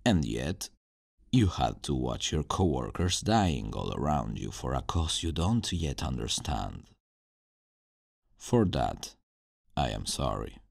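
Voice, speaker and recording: Man acting as a very deep, gravelly brooding supervillain. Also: big pitch swings, no echo, clean studio sound, heavily acted. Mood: calm, regretful. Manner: slow, deliberate, sighing, dramatic pauses. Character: brooding male supervillain scientist, menacing yet regretful. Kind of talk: ASMR roleplay, dramatic acting.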